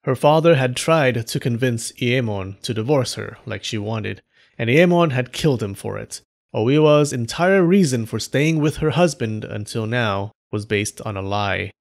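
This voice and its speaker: A man's voice: medium pitch, lively intonation, measured pace, vocal fry. A man narrating scripted stories as a host, in an informal, wry and dramatic way with casual asides. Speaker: storyteller and host of an animated folktale channel.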